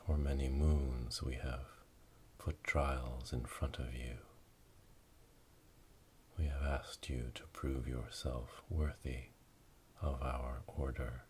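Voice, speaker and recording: A man playing a solemn witch elder leading an initiation rite in a breathy, whispered ASMR roleplay, speaking slowly and ceremonially. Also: little pitch movement, a little mellow. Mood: solemn, hushed. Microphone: close binaural microphone. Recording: close binaural, rumbling noise, clicks.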